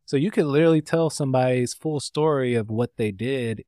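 A man's voice: medium pitch, brisk, dry recording. Chill guy from north carolina chatting about his art, fillers everywhere, dry close mic. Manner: relaxed, rambling, many "like" fillers. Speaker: interview guest, artist and tattooist. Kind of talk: casual conversation.

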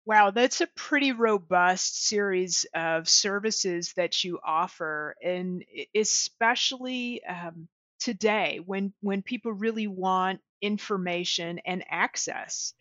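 A woman's voice: medium pitch, takes it slowly, a bit bright, no echo, muffled recording. A woman hosting a healthcare podcast and interviewing her guest in an upbeat, encouraging way. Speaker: podcast host.

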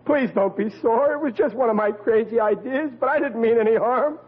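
An actor playing a bumbling, anxious, good-hearted middle-aged family man in old radio comedy, with a husky voice. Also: very high-pitched, brisk, phone-quality sound. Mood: anxious, pleading. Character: bumbling, anxious, good-hearted working-class husband and father. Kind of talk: 1940s-50s radio comedy acting. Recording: thin, band-limited vintage radio recording.

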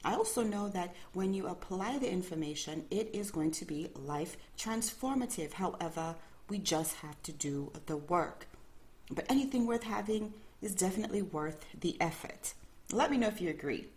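Low-voiced woman hosting a faith podcast. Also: expressive, moderate pace, a little mellow. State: early-morning voice, a bit calmer than usual. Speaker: podcast host.

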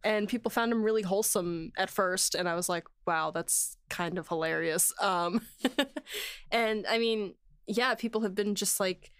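Woman, podcast host, casual chat, effusive, easily amused, middle pitch, close dry sound. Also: unhurried, smooth, no room sound. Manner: effusive, enthusiastic, prone to laughing. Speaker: podcast host and series writer. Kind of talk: casual chat about making a show.

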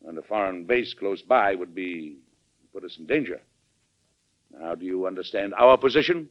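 An actor playing a hearty, forceful American president, emphatic and statesmanlike, in an old-time radio drama. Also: male voice, low voice, lively intonation, moderate pace. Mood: firm, forceful. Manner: emphatic, declamatory. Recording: dull vintage radio recording.

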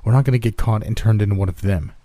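A gruff, defiant man in hiding played by a male narrator speaks in a horror story. The manner is gruff, with a mellow tone and a dry, close sound. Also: on the low side, quick pace, no echo, close to an everyday voice.

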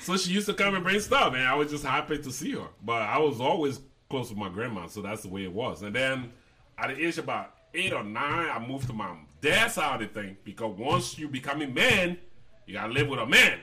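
A man in his forties with a bright, forceful voice. He tells his stories loosely, joking and laughing as he goes, at a middle pitch. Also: animated, a little fast, slightly rough. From Liberia. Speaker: guest, host's father. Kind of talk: casual storytelling chat.

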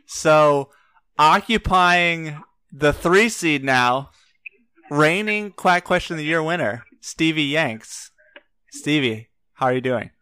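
A man's voice: fairly high pitch, lively intonation, bright, forceful tone, smooth, no room sound. Upbeat man hosting a trivia podcast. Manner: upbeat, joking, quick questions. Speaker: podcast host. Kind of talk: casual trivia podcast chat.

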